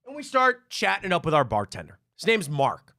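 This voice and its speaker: A sports talk host from Cleveland with a high voice that swoops all over as he tells stories and repeats his points. The sound is dry and close, with little room around it. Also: fairly quick, gravelly, no room sound. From Cleveland, Ohio. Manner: animated storyteller, repeats himself. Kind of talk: live sports talk show.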